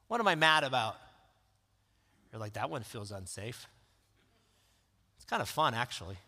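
A man preaching a sermon in a calm, conversational way that rises into emphatic questions. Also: mid-range voice, normal speed. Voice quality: calm, soothing voice. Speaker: pastor. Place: church sanctuary. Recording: long reverb of a church hall.

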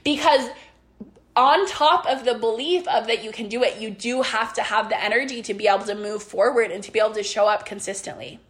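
A woman's voice: a little high, brisk. A young woman coaching on business and belief in a casual, earnest monologue, rambling with restarts, her voice worn after being sick.

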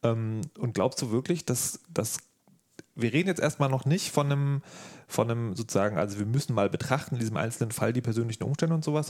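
Casual man hosting a podcast, mid-pitched. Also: darkish tone. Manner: informal, colloquial, rephrases as he goes. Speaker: podcast host, interviewer. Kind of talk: casual chat, interview.

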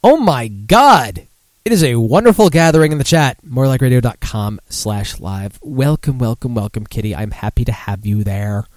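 A man's voice: medium pitch, expressive, moderate pace, no room sound. A mildly tipsy man hosting a casual live podcast, rambling and swearing in a self-deprecating way. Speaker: podcast host. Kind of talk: casual live beer-news chat.

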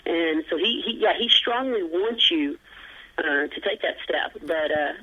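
A man with a high voice, talking as an interview guest in a rambling, earnest way with plenty of fillers and a self-deprecating sense of humor, heard over a phone line. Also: lively intonation, a little slow. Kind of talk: casual interview chat.

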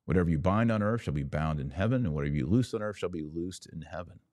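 A man's voice: low voice, expressive, fast talker, darkish tone, dry recording. A man hosting a religious commentary podcast, speaking to listeners in an emphatic, didactic way. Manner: emphatic, didactic, explaining by analogy.

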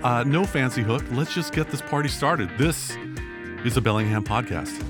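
A man's voice: medium pitch, normal speed, over loud music. A man co-hosting a casual podcast chat, playful and jokey with an upbeat delivery. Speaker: podcast co-host.

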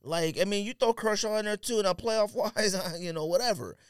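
A man's voice: high voice, a little fast, clean tone, dry recording, clean studio sound. A man co-hosting a casual sports podcast, talking emphatically in slang and repeating himself to press a point. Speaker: podcast co-host.

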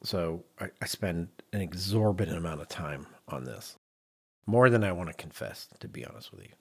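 Man, podcast host, lower-pitched, husky, conversational, emphatic delivery. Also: expressive, normal speed, a little mellow. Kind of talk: conversational podcast discussion with read-out notes.

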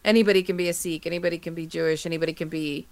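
A woman's voice: on the low side, steady tone, racing, darkish tone. A woman co-hosting a podcast, giving opinions casually and repeating her points for emphasis. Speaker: podcast co-host.

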